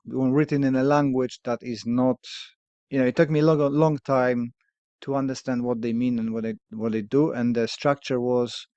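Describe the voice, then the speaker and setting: A man from Poland hosts a podcast in English, his second language, with a clear Polish accent. He speaks in a mid-range voice, hesitant and thoughtful, and often stops for fillers and a quiet laugh. The sound is dry and somewhat dull, like a lo-fi podcast recording. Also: mid-range voice, no echo. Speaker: podcast host. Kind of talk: candid casual conversation.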